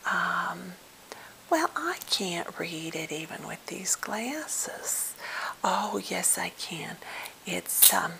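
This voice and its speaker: A woman playing a timid, slightly odd captive who is eager to please, speaking in a halting, gentle, polite whisper for an ASMR role play. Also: lowish voice, expressive, slight background noise, full character voice, poor audio. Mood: soothing, fussy. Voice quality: breathy whisper with fry.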